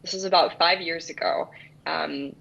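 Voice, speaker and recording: A woman's voice: deep voice, normal speed, gravelly, a bit bright. A woman from El Salvador, in her thirties, answering interview questions earnestly with frequent restarts. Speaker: guest founder. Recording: dull lo-fi sound.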